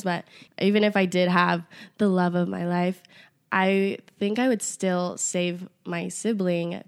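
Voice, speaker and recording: A young woman co-hosting a podcast while sick with bronchitis, her voice nasal and raspy, chatting casually. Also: on the low side. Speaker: podcast co-host. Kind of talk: casual chat.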